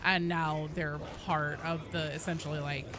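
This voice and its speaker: A woman hosting a game livestream, chatting casually and answering viewers in an animated, playful, conversational way. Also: deep voice, moderate pace, noisy background.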